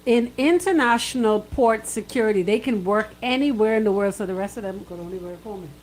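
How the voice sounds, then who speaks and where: A woman's voice: medium pitch, fairly quick, a little mellow, slight background noise, low-quality recording. A woman with a Bahamian accent co-hosting radio, giving opinionated banter and repeating phrases for emphasis. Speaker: radio co-host.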